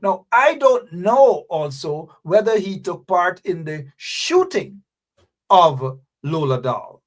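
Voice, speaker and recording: A man's voice: high-pitched, animated, takes it slowly. A man hosting a livestream show, chatting casually and rambling with frequent asides. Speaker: host.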